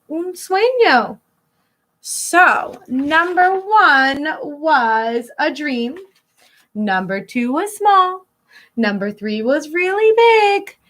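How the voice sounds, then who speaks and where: A woman schoolteacher reading a picture book aloud to children, expressive and instructive, drawing out key words and pausing to explain. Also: high-pitched.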